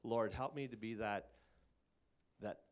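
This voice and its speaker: A man's voice: on the low side, slow pace. A man co-hosting a casual faith talk, speaking thoughtfully and searching for his words.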